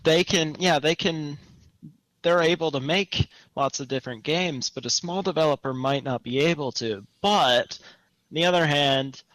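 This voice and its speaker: Higher-voiced young man chatting about games. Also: unhurried, fairly bright tone, no room sound, poor audio. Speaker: podcast co-host. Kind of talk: casual chat, gaming discussion.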